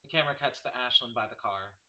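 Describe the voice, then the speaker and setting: A man's voice: mid-range voice, fast talker, bright, forceful tone, highs cut off. A man narrating stage directions from a script at a table read, reading plainly and occasionally stumbling over words.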